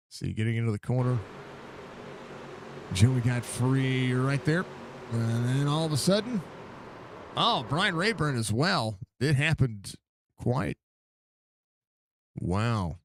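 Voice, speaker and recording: A man with a stuffy, congested voice calling a live sim race, excited and rambling with lots of fillers. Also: medium pitch. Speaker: sim racing broadcaster.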